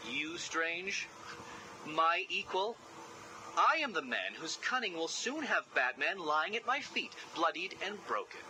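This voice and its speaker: A man's voice: a little high, very animated, unhurried, background noise. A man voicing an arrogant, narcissistic genius villain in a video game, with a smug, theatrical, mocking delivery. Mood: contemptuous, vengeful.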